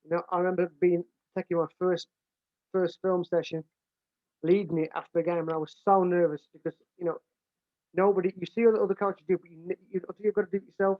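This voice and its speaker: A man's voice: sits high, little pitch movement, fast talker, no echo. British man with a big voice over a tinny line. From United Kingdom. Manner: restarts and repeats words often, plain-spoken. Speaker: American football coach. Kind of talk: casual explanatory talk. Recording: dry, tinny phone-like line.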